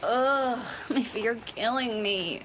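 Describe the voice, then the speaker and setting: An actress playing a headstrong, breezily optimistic young pilot, impulsive and casual, in an audio drama. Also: female voice, on the high side, slow pace, fairly bright tone, slight background noise, rough recording. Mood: breezy, exasperated.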